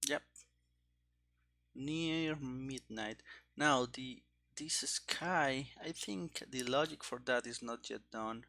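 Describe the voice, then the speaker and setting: A man with a bright, forward voice talks slowly through his programming work. He thinks aloud in short, halting phrases, like a casual coding stream. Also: mid-range voice. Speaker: programmer streaming game development. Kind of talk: casual live coding commentary.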